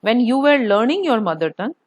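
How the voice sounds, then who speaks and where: A woman's voice: fairly high pitch, very animated, a little fast, no room sound. A woman giving an earnest language lesson, asking and answering her own questions. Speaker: language teacher, channel host. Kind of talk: explanatory lesson to camera.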